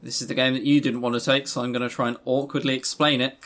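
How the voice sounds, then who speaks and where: A man's voice: medium pitch, flat delivery, fast talker, fairly bright tone. Blunt man chatting on a review podcast. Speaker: podcast co-host. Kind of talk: casual review chat about visual novels.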